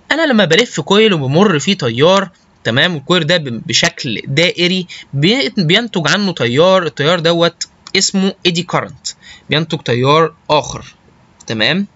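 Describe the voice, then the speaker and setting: A man with an Egyptian Arabic accent giving an informal technical lecture, halting and often checking that listeners follow. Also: on the high side, pushed and bright, muffled recording. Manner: halting, often checks understanding with short tag questions. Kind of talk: informal slide lecture with technical English terms.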